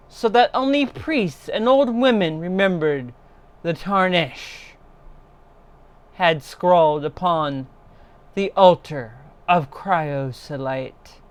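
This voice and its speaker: Woman, horror storyteller, unhurried pace, smooth clear tone, solemn and measured, dramatic literary reading. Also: on the low side.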